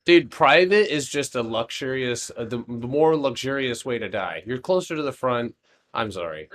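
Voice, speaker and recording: A man's voice: mid-range voice, expressive, normal speed, a bit bright. A man in his late twenties hosting a live stock trading stream, chatting casually and rambling with jokes that trail off into mumbles. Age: 20s.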